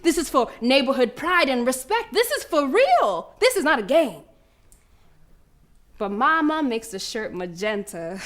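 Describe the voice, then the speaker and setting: A woman's voice: high voice, fairly bright tone. A woman playing a fierce, proud young girl runner, telling her story as a brash, streetwise dramatic monologue. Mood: defiant, excited. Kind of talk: dramatic first-person monologue.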